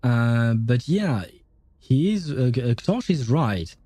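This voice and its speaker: A man's voice: mid-range voice, sing-song, takes it slowly, warm tone, no echo. A man livestreaming a game, giving casual commentary and chatting with his viewers.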